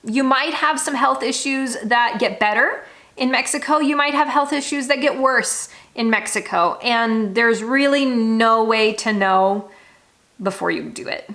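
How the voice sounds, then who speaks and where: A woman's voice: fairly high pitch, unhurried, fairly bright tone. An American woman vlogger talking candidly and informally to her viewers, with frank, emphatic delivery. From United States. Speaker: travel vlogger. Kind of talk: candid, conversational monologue to viewers.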